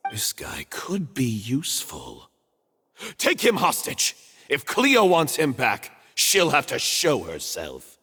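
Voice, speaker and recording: An actor voicing a vengeful, scheming villain from a stage play within a video game, menacing and melodramatic. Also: male voice, mid-range voice, huge pitch swings, slow pace, sharp tone, soft background music, exaggerated voice. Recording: long hall reverb, faint noise.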